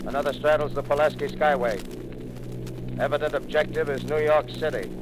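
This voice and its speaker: A man's voice: fairly high pitch, fairly even intonation, fairly quick, lightly acted. An actor playing a male army lieutenant leading a bomber squadron, giving terse clipped radio reports in 1930s radio drama style. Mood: clipped, steady. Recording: crackly 1938 radio broadcast.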